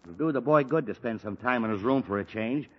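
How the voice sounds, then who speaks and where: A man's voice: medium pitch, expressive, a little fast, husky. An actor playing an exasperated middle-aged father with a headache, in 1940s radio acting style. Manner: exasperated, blustering. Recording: dull old 1940s radio broadcast.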